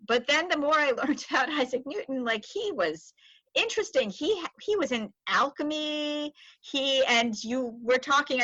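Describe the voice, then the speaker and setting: A woman's voice: fairly high pitch, pushed and bright, dry recording, dull audio. A woman hosting a podcast in a chatty, rambling, casual interview style. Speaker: podcast host.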